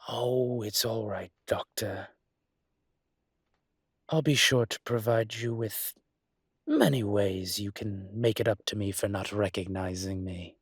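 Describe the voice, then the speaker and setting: Man playing a low, husky dragon crime boss. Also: steady tone, no room sound, studio quality, exaggerated voice. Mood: teasing, tender. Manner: measured, deliberate, teasing, with dramatic pauses. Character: male dragon shifter mafia boss, teasing, smug, protective. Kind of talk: slow intimate roleplay audio acting.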